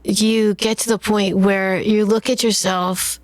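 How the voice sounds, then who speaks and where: Casting note: mid-pitched woman host, inquisitive and reflective, dry close recording. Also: flat delivery, measured pace, no echo. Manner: curious, thoughtful questioner who rephrases ideas. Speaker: podcast host. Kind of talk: casual interview chat.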